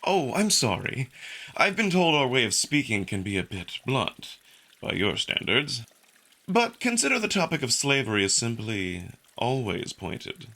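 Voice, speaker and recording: A man's voice: medium pitch, big pitch swings, a little slow, pushed and bright, exaggerated voice. A man voicing a suave, smugly curious male jackal alien in a visual novel, with polite, needling, unruffled delivery. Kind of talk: visual novel character acting.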